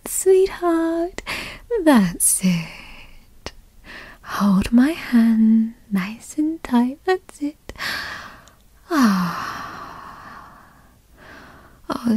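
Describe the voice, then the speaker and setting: A woman playing a doting, possessive yandere girlfriend who teases and coos in a soft, breathy ASMR roleplay whisper, close to the mic. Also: high-pitched, big pitch swings, no room sound, full character voice. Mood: tender coaxing. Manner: slow, breathy, cooing, teasing. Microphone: close microphone, dry.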